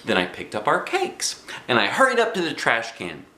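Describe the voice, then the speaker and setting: Dad doing a excitable little kindergarten girl for a storybook, bright voice, childish grammar, kinda echoey. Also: medium pitch, moderate pace, heavily acted. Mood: chatty, pleased. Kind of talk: first-person children's storybook reading.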